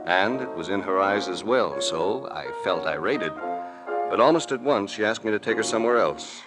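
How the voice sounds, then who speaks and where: An actor playing a wry, tough private investigator in a 1940s radio mystery. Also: male voice, lowish voice, expressive, normal speed, loud music behind the voice, natural voice. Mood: hard-boiled narrating. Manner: wry, hard-boiled. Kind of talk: 1940s radio acting, first-person detective narration. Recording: noisy vintage radio drama recording.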